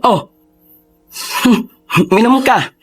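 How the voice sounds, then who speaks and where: High-voiced, troubled drinking lover. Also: male voice, animated, drawn-out words, full character voice. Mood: bitter, scornful. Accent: Filipino. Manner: dramatic, emotionally heavy. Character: moneyed male lover, drinking, bitter, guilt-ridden. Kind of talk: Tagalog radio drama acting. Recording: music behind the voice.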